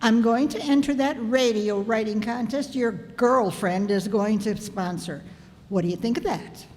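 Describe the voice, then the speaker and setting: A woman playing an excitable, high-voiced sitcom housewife. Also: a little slow, close to an everyday voice. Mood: defiant. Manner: theatrical, indignant, melodramatic. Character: excitable, stubborn, vain housewife with writing ambitions. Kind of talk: 1950s radio sitcom acting. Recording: echoey hall, stage performance.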